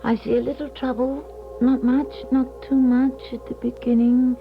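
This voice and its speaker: An actress playing a grand, weary older clairvoyant in a radio drama, speaking in a measured, solemn, theatrical way. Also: female voice, high-pitched, little pitch movement, creaky. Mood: entranced. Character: grand, weary clairvoyant woman recalling her gift. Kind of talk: 1970s radio drama acting and narration. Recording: muffled 1970s radio broadcast, music behind.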